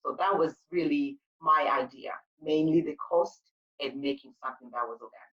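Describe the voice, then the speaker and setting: Woman from zimbabwe telling her story, low voice, kinda far off and dull sounding. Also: normal speed. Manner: earnest, storytelling, frequent fillers and restarts. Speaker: guest, spa owner and sugar paste maker. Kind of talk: interview answers.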